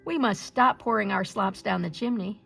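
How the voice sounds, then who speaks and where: A woman's voice: medium pitch, moderate pace, vocal fry, fairly bright tone, slightly rough, soft background music, full character voice. A woman voicing a kindly, practical old wife in a storybook folktale, earnest and emphatic. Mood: sympathetic.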